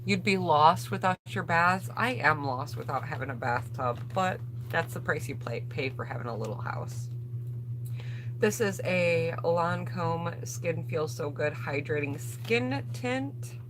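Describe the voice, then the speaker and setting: A woman's voice: sits low, lively intonation, measured pace, background noise. A woman chatting casually on a livestream as a beauty vlogger, thinking aloud and addressing viewers directly as she presents products.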